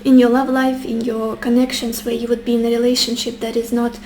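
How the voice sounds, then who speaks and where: Flat-toned tarot reader woman, losing her voice. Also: on the high side, fairly quick, slight background noise. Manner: gentle, addresses viewers warmly as beautiful souls; muses aloud with soft hesitations. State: losing her voice, a little sick. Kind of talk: tarot card reading to the viewer.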